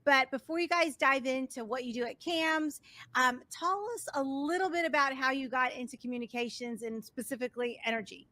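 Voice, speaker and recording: Upbeat high-voiced woman host, dry sound. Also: a little fast, pushed and bright, no echo. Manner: upbeat, enthusiastic questioning. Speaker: podcast host. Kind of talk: podcast interview.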